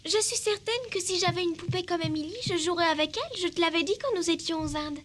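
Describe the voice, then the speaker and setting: A woman's voice: extremely high pitch, quick pace, a bit bright, slight background noise. A woman voicing an eager, well-bred little girl in a French cartoon dub, with a polite, childlike manner. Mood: wistful.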